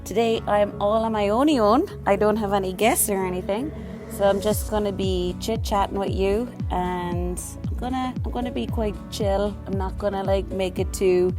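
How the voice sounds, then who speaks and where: A hoarse woman hosting a casual solo podcast, chatting loosely with plenty of fillers and tangents. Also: medium pitch, steady tone, normal speed, music in the background. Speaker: podcast host.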